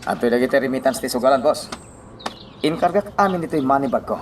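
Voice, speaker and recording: Man playing loyal gang henchman, Filipino accent, exclamatory, very lively intonation, slightly off-mic, radio drama. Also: mid-range voice, quick pace, far from the mic, background noise, close to an everyday voice. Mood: deferential. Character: loyal, excitable henchman of a crime boss.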